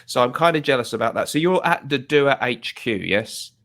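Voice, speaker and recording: A man's voice: medium pitch, lively intonation, normal speed, a bit bright. A man based in the UK hosting a fragrance interview over a video call, upbeat and chatty with frequent restarts. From United Kingdom. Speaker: host.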